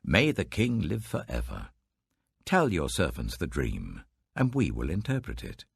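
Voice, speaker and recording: Man voicing a deferential gravelly British court astrologer. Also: a bass voice, wildly swooping pitch, unhurried, no echo, clean studio sound, heavily acted. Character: deferential male court astrologers pleading with their king.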